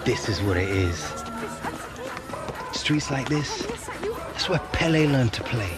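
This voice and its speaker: An actor playing a laid-back, dreamy young British footballer in naturalistic video game cutscene dialogue. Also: male voice, medium pitch, sing-song, takes it slowly, over loud music. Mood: wistful, admiring.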